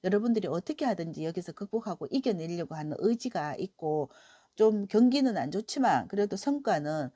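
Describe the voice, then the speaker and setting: A middle-aged woman with a cold-roughened voice gives a weekly tarot reading in a gentle, encouraging way, with many soft fillers. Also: on the low side, expressive, brisk, no room sound. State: hoarse from a cold. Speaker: tarot reader.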